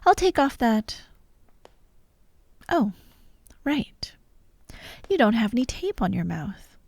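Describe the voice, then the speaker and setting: A woman's voice: a little high, very animated, slow, gravelly, darkish tone, no room sound, clean studio sound, full character voice. A woman playing a vengeful fired actress holding hostages in an ASMR audio drama, taunting and theatrical, often dropping to a whisper. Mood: sinister, taunting. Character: vengeful fired actress, sadistic hostage-taker directing victims.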